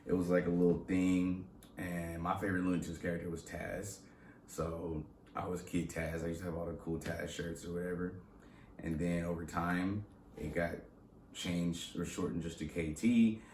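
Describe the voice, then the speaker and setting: Deep-voiced young man, echoey room. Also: normal speed, slight background noise. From Southern California. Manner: slangy, animated storytelling with laughs. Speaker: rapper guest. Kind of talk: casual interview chat.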